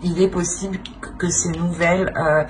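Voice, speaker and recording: A woman's voice: on the low side, level tone, moderate pace. A woman giving an unscripted tarot reading to viewers, feeling her way through the meaning by repeating and rephrasing. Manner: hesitant, searching, repeats and rephrases phrases. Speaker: tarot reader, channel host. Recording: clear background noise.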